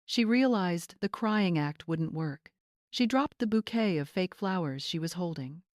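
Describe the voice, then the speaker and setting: A woman's voice: fairly low pitch, animated, unhurried, dry recording, clean studio sound. A woman reading dramatic scripted story narration.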